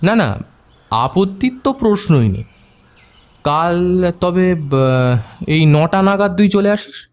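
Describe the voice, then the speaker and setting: A man's voice: sits high, big pitch swings, slow pace, long pauses, full character voice. A man playing a firm, probing amateur detective in an audio drama. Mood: agreeable. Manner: firm, questioning, brusque. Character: Hrishikesh, decisive, probing male amateur detective. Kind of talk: Bengali audio drama acting.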